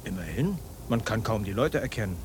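A man's voice: on the low side, animated, fairly quick, a little hiss or hum, natural voice. An actor playing an amused, easygoing taxi driver in his forties in a satirical radio play. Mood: musing. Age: 40s. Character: easygoing, amused middle-aged taxi driver, uncle's pal.

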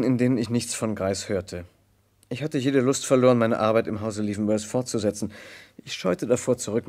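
An actor playing an earnest, troubled gentleman who narrates an old German radio crime play in a careful literary manner. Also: fairly low pitch, lightly acted. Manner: measured, literary storytelling, hesitant in dialogue. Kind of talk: mid-20th-century German radio crime drama narration and acting.

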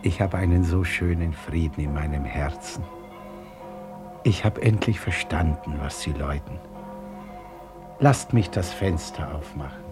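An actor playing a bewildered, tender old father, halting and full of wonder, in a 1950s radio play. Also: very deep voice, over music. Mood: joyful, moved. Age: older.

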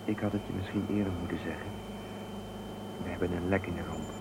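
An anxious, defensive male spaceship crewman with a low voice. It comes from a science fiction radio play with clear noise behind him. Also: steady tone, fast. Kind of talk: Dutch sci-fi radio drama acting.

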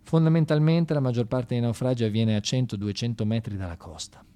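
A man performs a theatrical monologue in a medium-pitched voice. He talks colloquially and with animation, like someone telling a story directly to listeners. His delivery is sardonic, shifting between emphatic and quieter phrasing. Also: fairly quick, clear, resonant tone. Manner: animated, swinging from shouted exclamations to hushed asides.

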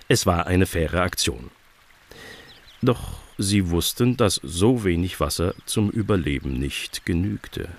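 Male narrator, children's audio drama, deep, animated intonation, scripted storytelling. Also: creaky.

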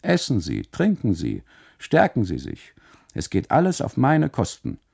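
A man's voice: lowish voice, huge pitch swings, measured pace, vocal fry, breathy edge, pitched above the performer's own voice, exaggerated voice. A man playing a boastful, aggrieved café custodian in a literary radio monologue, calling out emphatically and excusing himself. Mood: expansive, hospitable. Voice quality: loud, calling out. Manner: emphatic, self-justifying.

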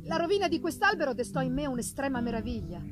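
A woman giving a dramatic, theatrical reading of literary narration, with deliberate phrasing and pauses. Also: high-pitched, very animated, music in the background. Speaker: reader of a literary audiobook. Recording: live reading with noticeable background noise.

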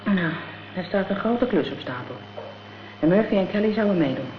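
A woman's voice: fairly low pitch, expressive, normal speed, natural voice. Actress as a tough, scornful gangster's girlfriend, muffled recording. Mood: conspiratorial, scornful. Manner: slangy, blunt, scornful. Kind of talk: radio drama acting. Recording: dull, noisy old radio play recording.

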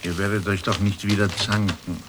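An actor playing an easygoing, conversational card player in a radio drama. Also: male voice, low-pitched, level tone, moderate pace, noisy background, natural voice.

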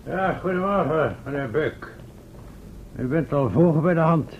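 An actor playing a pompous, wordy town mayor in a radio play, speaking formally. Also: male voice, on the high side, lively intonation, a little slow. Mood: genial, formal. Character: pompous, self-pitying, hesitant town mayor. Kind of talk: old Dutch radio play acting. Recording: muffled, noisy old radio recording.

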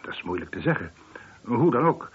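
A husky older man of means in a radio drama, formal and curt. His pitch moves widely while he stays composed, and the recording is dull and lo-fi. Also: sing-song, a little fast. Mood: tense recounting. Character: wealthy older householder reporting a shooting, curt and composed. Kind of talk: radio play acting.

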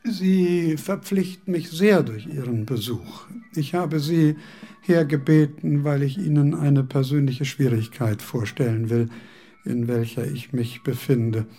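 A fastidious old scholar, radio play, formal courtesy, slow and measured, soft husky dark tone, faint music behind. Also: male voice, on the high side. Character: aging, fussy, courteous male philosopher. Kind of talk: radio drama acting.

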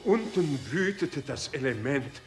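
A male storyteller with a lightly raised pitch declaims classic prose for radio. His phrasing is formal and dramatic, with music clearly playing behind. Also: lively intonation, a little slow. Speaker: narrator. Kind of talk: dramatic literary narration for radio.

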